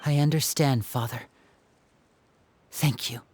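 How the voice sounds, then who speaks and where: An actor playing an earnest, loyal young man in a video game, respectful and determined, with a husky voice. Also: mid-range voice, fairly even intonation, slow pace, a little mellow, slight background noise, natural voice. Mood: grateful. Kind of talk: dramatic video game dialogue.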